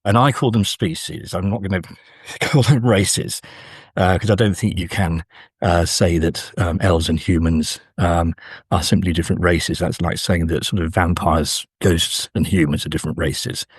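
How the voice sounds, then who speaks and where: Deep, husky man, musing academically. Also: steady tone, normal speed, no room sound, studio quality. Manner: hesitant, stop-start, musing. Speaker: academic guest, professor. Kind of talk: scholarly interview conversation.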